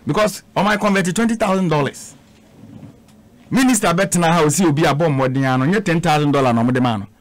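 A man's voice: on the high side, a little fast, no room sound. A middle-aged Ghanaian man arguing insistently as a panelist in a studio radio debate, mixing English and Twi. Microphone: studio microphone on a boom.

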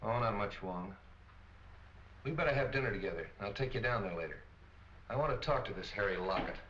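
A man's voice: fairly low pitch, animated, fairly quick, fairly bright tone. An American man in his thirties playing an impatient, sarcastic police captain in a 1940s film. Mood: businesslike. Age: 30s. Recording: dull, noisy, echoey 1940 film soundtrack.

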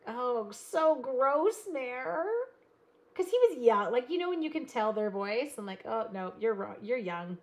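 A woman's voice: fairly high pitch, unhurried. A woman from Canada hosting a live card-making stream, chatting and reading comments while she cuts. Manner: rambling, animated, reads chat comments aloud. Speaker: card-making livestream host. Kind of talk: chatty live crafting demo. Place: craft room at a desk.

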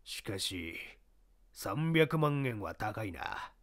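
A man plays a shrewd baseball scout in an audio story. He drops into a lower, measured register and argues persuasively. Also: very animated, fairly bright tone, exaggerated voice. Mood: pondering.

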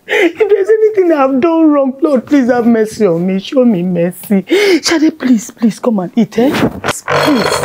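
A woman's voice: sits high, darkish tone, a little hiss or hum. A woman with a Nigerian accent playing a distraught, tearful mother who keeps pleading with her silent daughter to eat. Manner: pleading, repeating herself. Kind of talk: comedy skit acting.

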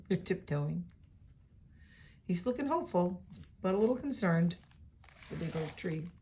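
A woman with a slightly low voice reads a picture book to children. Her delivery is expressive and aimed at young listeners, mixing story lines with chatty little asides. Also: unhurried, slight background noise. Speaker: storybook reader.

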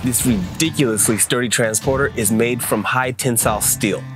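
A man's voice: medium pitch, moderate pace. A man narrating a scripted product review in a casual, slangy, enthusiastic way, as a channel presenter would. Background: music bed with guitar.

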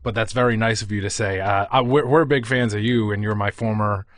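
A man with a Boston accent talks in a somewhat low voice, chatting loosely on a comedy podcast. He laughs a lot and lets his sentences trail off. His studio mic sounds close and dry. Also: steady tone, fairly quick, fairly bright tone, no echo. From Massachusetts. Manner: jokey, laughs often, trails off mid-sentence. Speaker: podcast co-host. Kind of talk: casual comedy podcast chat.

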